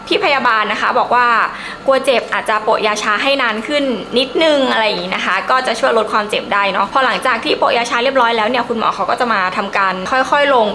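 Female beauty vlogger, young adult. Higher-pitched, sharp bright tone, enthusiastic casual review read. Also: slight background noise. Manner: chatty, enthusiastic, emphatic.

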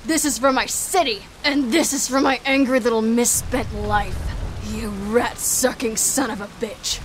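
A woman's voice: a little high, a little slow, slight background noise, natural voice, rough recording. A woman playing a tough, sardonic half-vampire action heroine in a video game cutscene, wry and mocking. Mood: vengeful.

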